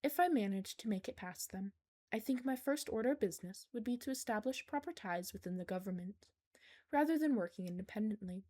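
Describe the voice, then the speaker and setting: A woman's voice: medium pitch, expressive, dark tone, lightly acted. A woman voicing an intelligent, whiskered captive creature in an audiobook, speaking precisely and without emotion. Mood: calculating. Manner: measured, unemotional, precise. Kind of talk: audiobook character dialogue.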